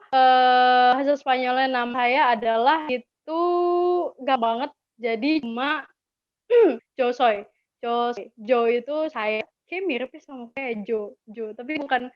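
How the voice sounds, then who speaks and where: A woman's voice: high voice, measured pace, no room sound. A woman with an Indonesian accent giving an informal language lesson, chatty and encouraging, repeating key words for learners. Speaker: webinar speaker teaching languages. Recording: dull lo-fi webinar audio.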